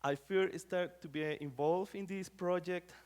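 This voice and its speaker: A man's voice: sits high, unhurried, a little mellow, clean tone. Man giving a casual lecture. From Costa Rica. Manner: conversational, many fillers, non-native phrasing. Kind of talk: academic public talk.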